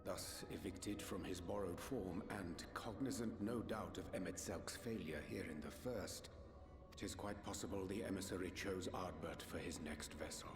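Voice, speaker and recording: A man performing a learned sage from a fantasy game, grave and formal. His voice sits very low, and the archaic phrasing is carefully measured. It rings in a roomy space over a clear musical score. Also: over music, natural voice. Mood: grave, deliberate. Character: solemn, learned male sage speaking archaic English. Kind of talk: theatrical fantasy game voice acting.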